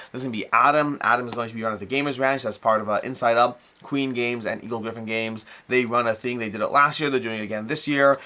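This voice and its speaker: A man's voice: medium pitch, sharp tone. A man hosting a hobby news show in a casual, unscripted way, rambling and often repeating or correcting himself. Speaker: host of a board game news show.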